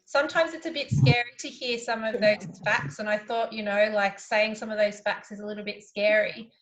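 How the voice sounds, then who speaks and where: A woman's voice: mid-range voice, fairly even intonation, normal speed, fairly bright tone, highs cut off. A woman talks to children over a video call in a cheerful, reassuring way. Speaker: guest presenter. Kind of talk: talking to children.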